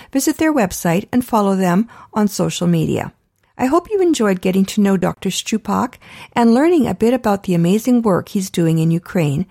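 A woman hosting a radio interview and reading the show's closing announcements, friendly and quick to laugh. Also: mid-range voice, creaky, mellow, no echo, clean studio sound. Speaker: radio host. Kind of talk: radio interview and show outro.

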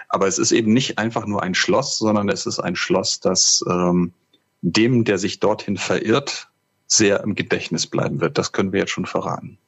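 A man co-hosting a podcast in casual chat, explaining things haltingly with a husky voice. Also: sits low. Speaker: podcast co-host, audio drama writer. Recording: slightly dull podcast audio.

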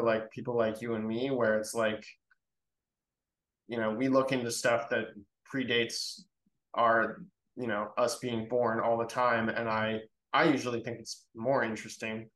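A man's voice: mid-range voice, fairly bright tone. Young man talking in an echoey room. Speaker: lost media researcher. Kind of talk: casual podcast conversation. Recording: roomy, echoey sound.

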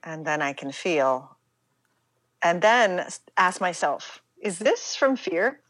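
A middle-aged American woman speaking reflectively as an interview guest, halting and emphatic, often acting out her own inner dialogue. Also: lowish voice, big pitch swings, fairly bright tone, dry recording. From United States, Pittsburgh, Pennsylvania.

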